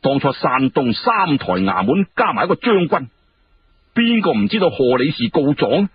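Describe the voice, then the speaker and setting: A male storyteller plays an imperious, irritable emperor from a historical tale. His pitch swings widely as he presses points in commanding, emphatic phrases. The sound is dull and noisy. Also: sing-song, moderate pace, lightly acted. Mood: indignant, challenging. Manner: indignant rhetorical questioning, emphatic. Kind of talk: Cantonese historical storytelling dialogue. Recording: muffled, clearly noisy radio-like recording.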